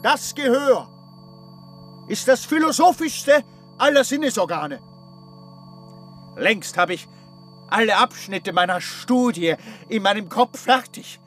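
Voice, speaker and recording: An actor playing an obsessive, tormented scholar in a radio play monologue, speaking emphatically and haltingly, repeating himself. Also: male voice, high-pitched, expressive, a bit bright, faint music behind, exaggerated voice. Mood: brooding, obsessive. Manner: emphatic, repetitive, halting delivery with drawn-out words. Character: obsessive, tormented scholar consumed by his life's study.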